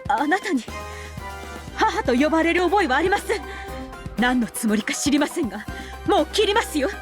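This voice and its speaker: A woman's voice: high-pitched, sing-song, fast. A woman playing a stern, proper married lady in a visual novel, speaking in a formal, clipped, demanding way. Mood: shaken, indignant. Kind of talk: Japanese visual novel voice acting. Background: background music.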